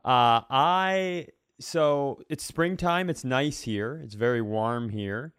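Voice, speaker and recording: Man, podcast co-host, clear resonant mid-range voice, dry recording, wry rambling comedic storytelling. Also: medium pitch, slow pace, fairly bright tone, dry recording.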